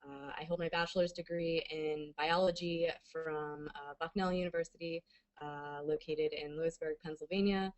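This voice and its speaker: American woman in her 30s, lowish voice, science teacher doing an informal pro webinar talk. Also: fairly even intonation, normal speed, low-quality recording. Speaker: webinar co-host, forensic science educator. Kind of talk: informal professional presentation.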